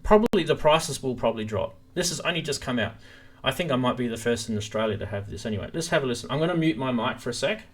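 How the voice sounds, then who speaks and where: A man with a mid-pitched voice hosts a casual live stream demo. He explains things in a loose, rambling way, speaking into a stream microphone. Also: expressive, fast talker. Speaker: host of a synthesizer live stream.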